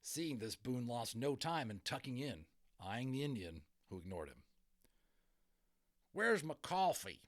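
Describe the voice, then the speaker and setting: Animated man narrating a storybook. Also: mid-range voice, moderate pace. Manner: expressive, theatrical. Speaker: audiobook narrator.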